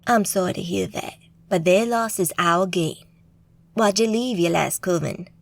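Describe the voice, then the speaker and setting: A swaggering young man, a magic-user full of himself, speaking in a lowered, confident tone, as a woman narrator voices him. Dry, close sound. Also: measured pace, gravelly, dry recording.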